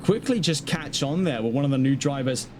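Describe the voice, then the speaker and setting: A man calling a live online sim race, brisk and chatty, breaking off now and then to talk to the chat. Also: medium pitch, expressive, slight background noise. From not Dutch. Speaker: race commentator. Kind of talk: live sim-racing play-by-play commentary.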